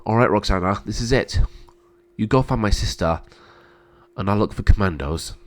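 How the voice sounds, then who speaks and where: A man's voice: on the low side, moderate pace, natural voice. A man playing a noble, defiant hero and protective brother, earnest and dramatic. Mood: urgent. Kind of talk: amateur dramatic storytelling.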